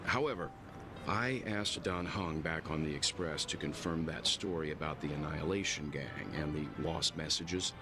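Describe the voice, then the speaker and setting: An actor playing a grave, sober, mature man in a video game, speaking in a serious, deliberate and wary way. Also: rumbling low. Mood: measured, wary. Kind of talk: video game voice acting, measured dialogue. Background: game background music.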